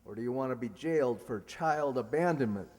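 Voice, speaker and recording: A man's voice: mid-range voice, a little mellow, close to an everyday voice. A man acting a stern, self-righteous young businessman in a radio comedy play, pompous and lecturing. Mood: threatening. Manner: pompous, lecturing, deliberate phrasing. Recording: echoey hall.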